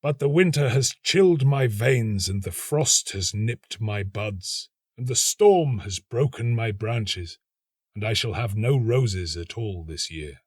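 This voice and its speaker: A solemn old storybook rose tree voiced by a man. He speaks slowly and mournfully, drawing out each phrase with foreboding. The voice is husky and the recording very dry and close. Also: on the low side, expressive, no echo, exaggerated voice. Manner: slow, solemn, drawn-out. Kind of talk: storybook character acting.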